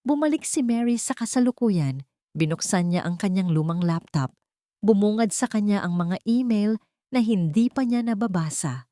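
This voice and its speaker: A woman's voice: mid-range voice, animated, moderate pace, mellow, no echo, clean studio sound. A woman with a Filipino accent narrating a scripted love story in a dramatic storytelling style.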